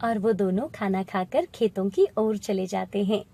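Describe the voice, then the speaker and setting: A woman's voice: mid-range voice, normal speed, gravelly, dark tone, a little hiss or hum. A woman narrating a scripted moral story as the storyteller.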